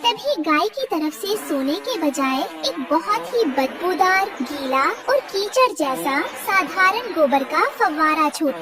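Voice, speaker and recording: Very high-pitched woman telling a story. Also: animated, slow pace, hardly any pauses, far from the mic. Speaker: narrator. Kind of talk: scripted dramatic cartoon story narration.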